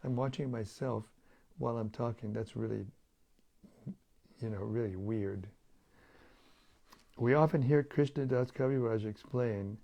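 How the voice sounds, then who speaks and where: A hoarse man losing his voice, hosting a daily scripture reading in a relaxed, chatty way over an online video stream. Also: medium pitch. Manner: relaxed, chatty, with light chuckles. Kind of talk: casual talk and Q&A on scripture.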